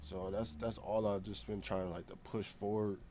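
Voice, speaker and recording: A young man who is a podcast guest, talking casually in a hesitant, halting way. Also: on the low side, little pitch movement, warm tone, slight background noise. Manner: hesitant, halting, many fillers and restarts.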